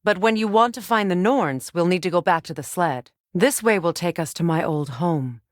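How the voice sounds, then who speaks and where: A dry, intimate recording carries an actress as a proud Norse goddess for a video game. Her voice sits fairly low for a woman. She speaks formally and with measured composure, as a determined queen of warriors. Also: no room sound, lightly acted. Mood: measured, wry. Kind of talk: scripted video game dialogue.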